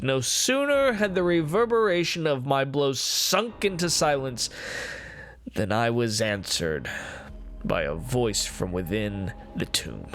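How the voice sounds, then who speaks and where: A man playing an unhinged, gloating murderer confessing his crime in a theatrical, deliberate gothic horror reading. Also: mid-range voice, a bit bright, a little hiss or hum. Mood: mounting horror. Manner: theatrical, ornate, deliberate phrasing with swelling emphasis.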